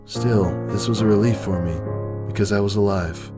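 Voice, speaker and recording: A man's voice: fairly low pitch, normal speed. A man giving an earnest, solemn dramatic narration of a first-person story. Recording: background music.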